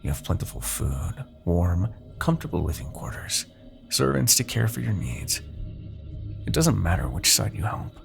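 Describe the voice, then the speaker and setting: A haughty, seductive demon king acted by a man speaks in a deep voice thick with gravel and creak. His delivery is theatrical and widely varied in pitch, silky and condescending as he persuades. It is an intimate fantasy roleplay monologue. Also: sing-song, normal speed, faint music behind, heavily acted. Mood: bitter, persuasive.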